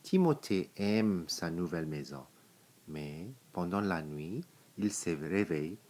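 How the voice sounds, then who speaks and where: A man reading a children's picture book aloud, in deliberate, simple phrases with pauses. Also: deep voice, lively intonation, a little mellow. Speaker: storybook reader.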